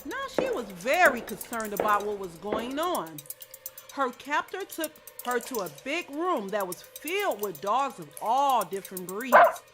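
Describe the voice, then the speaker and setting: A woman narrating a children's story in an expressive scripted read-aloud style. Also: fairly high pitch, unhurried, faint music behind.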